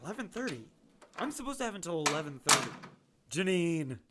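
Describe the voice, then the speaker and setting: An awkward, chatty young male doctor in a low register. He rambles, jokes at his own expense and fills silences. A faint hiss runs under the audio drama recording. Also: big pitch swings, a little fast. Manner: rambling, self-deprecating and chatty.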